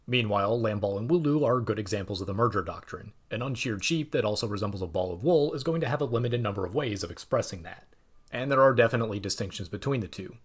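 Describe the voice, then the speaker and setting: A young man reading a scripted video essay in a measured, explanatory way with dry asides. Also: fairly low pitch, expressive, breathy edge.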